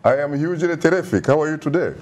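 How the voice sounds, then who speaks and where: A man's voice: on the high side, animated, quick pace, a little mellow. A man hosting a live phone-in talk show, courtly and effusive in his phrasing.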